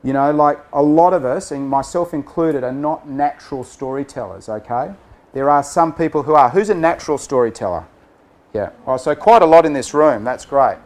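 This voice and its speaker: A man's voice: a little high, normal speed, darkish tone. A man running a storytelling workshop for a group, speaking casually and often checking in with "okay?" and "right?". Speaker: workshop facilitator. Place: room with a group of people.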